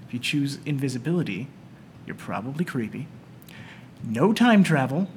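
A man hosting a casual group podcast, playfully spinning improvised scenarios in a noisy, rumbly room recording. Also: sing-song, normal speed. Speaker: podcast host.

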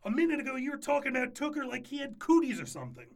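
Raspy, animated young woman. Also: high voice, rapid-fire, full character voice. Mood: exasperated. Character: scheming, exasperated best friend, young woman. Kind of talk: audio drama acting.

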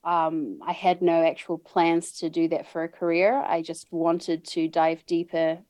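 A woman's voice: lowish voice, level tone, measured pace, a little mellow, no echo. A woman in her thirties from New Zealand with a New Zealand accent, chatting as a podcast guest, often laughing and restarting her sentences. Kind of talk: casual interview chat. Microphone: video call.

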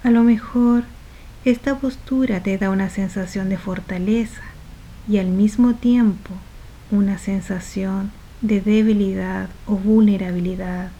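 A woman leading a guided meditation softly and without hurry, phrase by phrase, letting the ends of her phrases trail off into breath. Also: mid-range voice, steady tone, low-quality recording. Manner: soft, unhurried, phrase by phrase with trailing breathy endings.

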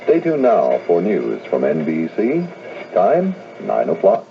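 A man's voice: deep voice, huge pitch swings, slow pace, vocal fry. A man announcing a station identification in 1970s AM radio style. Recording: muffled, faintly noisy AM radio.

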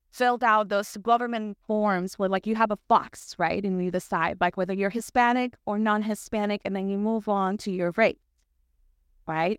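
A woman's voice: mid-range voice, dry recording, studio quality. A young woman from El Salvador with a Salvadoran Spanish accent, a native Spanish speaker, gives a conversational personal talk to a church congregation, often saying "like" and "right?". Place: church sanctuary.

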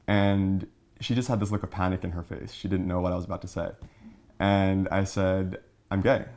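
A man with a somewhat deep voice shares a story from his own life. His delivery is candid and conversational, as in relaying an exchange between people. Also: little pitch movement, normal speed, a little mellow. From Long Island, New York, United States. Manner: conversational, recounts dialogue. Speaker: storyteller sharing a personal coming-out story.

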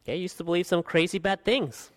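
A man in his thirties from Guatemala, preaching an expository sermon as pastor, earnest, swinging from emphatic peaks to quiet asides. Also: high-pitched, fast talker. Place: church hall. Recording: long hall reverb.

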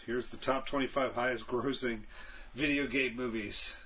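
A man's voice: mid-range voice, steady tone, normal speed, a bit bright, slightly rough. A man co-hosting a casual podcast, giving blunt opinions and reading out items. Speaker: podcast co-host. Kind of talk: casual chat, reading out a ranked list.

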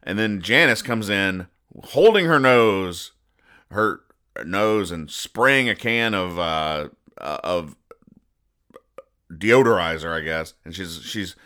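Low, bright-voiced man rambling in mock earnest. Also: lively intonation, takes it slowly. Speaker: podcast host. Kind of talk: casual comic-strip commentary, reading out and explaining jokes.

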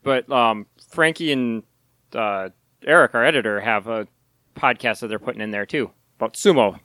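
A man's voice: mid-range voice, normal speed, a bit bright, no room sound. A man on a casual podcast with a dry, teasing way of talking. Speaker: podcast co-host. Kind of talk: casual group podcast chat.

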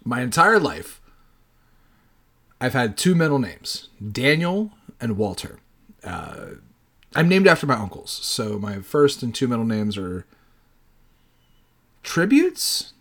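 Guy late 30s doing a solo podcast, really lively pitch, rambles and swears, super casual. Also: takes it slowly, pauses often. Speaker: podcast host.

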